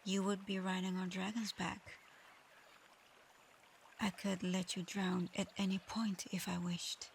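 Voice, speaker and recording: A woman plays a proud dragon girl in human form, guarded and easily flustered. She speaks formally and slowly, in a narrow, even line that can catch into shy stammers. It is an intimate ASMR roleplay, with clear ambient noise behind the voice. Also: mid-range voice. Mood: softened, earnest. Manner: halting, stammering when flustered, otherwise measured and formal. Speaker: audio roleplay performer.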